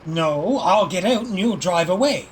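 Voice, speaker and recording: Actor as a plucky, wisecracking fugitive hero. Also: male voice, high-pitched, lively intonation, unhurried. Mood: urgent. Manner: cheeky, insistent. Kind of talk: audio drama acting. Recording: noisy home-device recording.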